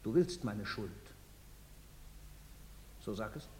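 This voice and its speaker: A man's voice: fairly low pitch, sing-song, slow pace, darkish tone. An actor playing a desperate, guilt-ridden middle-aged father in a theatrical drama, urgent and imploring. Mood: anguished confession. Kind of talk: theatrical radio drama acting. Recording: long hall reverb.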